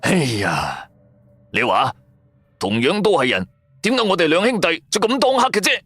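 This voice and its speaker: Man acting Tofu, an excitable broke Cantonese sidekick. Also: fairly high pitch, moderate pace, husky, dry recording, faint music behind, pitched above the performer's own voice, full character voice. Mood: glum. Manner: excitable, wheedling, slangy. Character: Tofu, broke, timid but money-hungry young male sidekick. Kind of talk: Cantonese radio drama dialogue.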